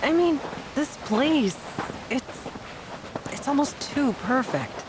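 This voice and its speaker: A woman's voice: high-pitched, animated, slow, noisy background. A woman playing an earnest, upbeat young adventurer in an audio drama, with natural dialogue delivery. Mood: awed.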